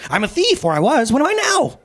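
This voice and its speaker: A man's voice: huge pitch swings, fast talker, a bit bright, full character voice. A man playing a joyful, wonderstruck redeemed thief. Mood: amazed. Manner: high, breathless wonder. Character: newly redeemed former thief, wide-eyed and joyful.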